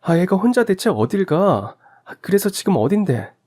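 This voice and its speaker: A man's voice: sits high, very animated, normal speed, warm tone, voice pushed up. A man voicing a distraught, accusing young mother with urgent, pleading exclamations in a radio drama. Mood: alarmed. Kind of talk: Korean story radio drama acting.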